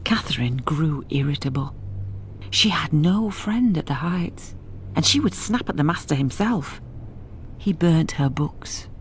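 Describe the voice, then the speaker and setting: An actress playing a level-headed housekeeper who narrates a gothic radio drama in a measured, grave, reflective way. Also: female voice, low voice, expressive, background noise.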